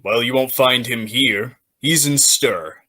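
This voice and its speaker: A surly gangster from an old radio play, voiced by a man, brushes people off in curt slang. His mid-pitched voice has a hard, sharp edge and a threatening air. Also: slow pace. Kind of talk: 1940s radio acting.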